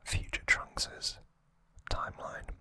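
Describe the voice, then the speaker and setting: ASMR host, fully whispered and breathy, slow delivery in short hushed phrases, crisp and very bright. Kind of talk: ASMR whispered anime recap and trivia.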